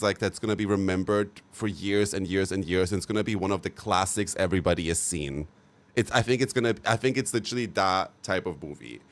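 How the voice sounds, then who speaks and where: A young man talking casually to his livestream viewers, gushing and frank, with many fillers and repeats. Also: low-pitched. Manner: chatty, frank and sometimes profane. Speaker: livestream host.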